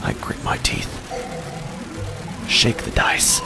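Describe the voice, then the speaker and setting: A man acting a haunted gambler who narrates his own horror story in a halting, dramatic way, with long pauses and near-whispers. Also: close to an everyday voice. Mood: tense dread. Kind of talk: scripted first-person horror narration. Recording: music bed under the voice.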